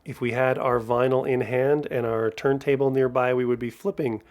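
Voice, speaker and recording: A man's voice: medium pitch, steady tone, normal speed, darkish tone. A man with a mid-range voice hosts a podcast. He delivers scripted narration in a presenter's style. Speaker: podcast host.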